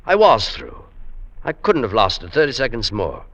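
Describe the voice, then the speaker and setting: An actor playing an earnest, ailing mountaineer in old radio drama style, halting and hesitant, reassuring yet unsure of himself. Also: male voice, medium pitch, very animated, fairly bright tone, dull audio. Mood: subdued, confiding. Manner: halting, breathy exertion and hesitant stammers. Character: earnest ailing male mountaineer, reassuring but self-doubting. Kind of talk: 1940s-50s radio drama acting. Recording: noisy vintage radio recording.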